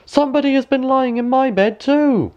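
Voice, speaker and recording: Fairy-tale dwarf performed by a man: small, friendly, inquisitive, raised pitch, emphatic delivery. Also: moderate pace, a little mellow, clear, resonant tone, lo-fi sound. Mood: astonished. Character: small male dwarfs of a cottage, curious, friendly.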